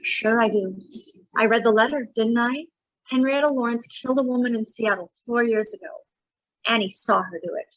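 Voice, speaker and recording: An actress playing a sly, greedy, not very bright young blackmailer in a noir radio drama, whiny and pouty. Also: female voice, on the high side, moderate pace, no room sound, dull audio, natural voice. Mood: sly, grudging.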